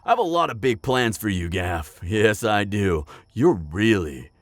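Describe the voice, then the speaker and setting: A man playing a chatty, pragmatic necromancer in an audio roleplay, talking casually and a little haltingly straight to the listener. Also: lowish voice, lively intonation, no echo. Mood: businesslike, eager. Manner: casual, hesitant asides, frequent chuckling. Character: chatty, upbeat, pragmatic male necromancer, former tailor. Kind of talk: conversational roleplay addressed to the listener.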